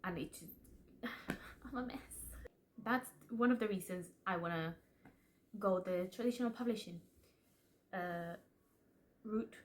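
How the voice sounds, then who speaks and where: A woman's voice: mid-range voice, a little slow, long pauses, a little hiss or hum. A young woman whose first language is Spanish chats openly to her camera about her writing hopes. She talks in a loose, self-deprecating way, restarting phrases as she goes. Her voice sits in an ordinary middle range. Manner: chatty, self-deprecating, restarts and laughs often. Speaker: aspiring author and channel host. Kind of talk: casual confessional vlog.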